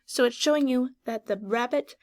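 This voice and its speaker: A woman with a fairly high voice leads a picture-book read-aloud for young children. She speaks like a teacher, expressively and clearly instructive, drawing out key words and putting questions to her listeners with pauses for them to think.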